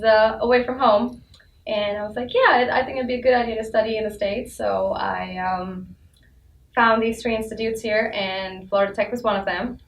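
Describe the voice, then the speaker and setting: A young woman from India, a student telling her story in a lively, giggly way. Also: mid-range voice. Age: young adult. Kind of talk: casual panel chat. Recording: echoey room, mic far off, faint noise.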